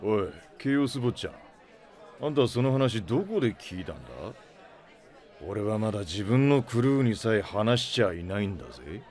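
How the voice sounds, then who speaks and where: A male actor playing a gruff, blustery middle-aged starship captain in a Japanese audio drama, with rough, brusque, slangy speech. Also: lowish voice, expressive, moderate pace, a little mellow, a faint crowd, lightly acted. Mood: irritated, suspicious. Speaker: ship captain.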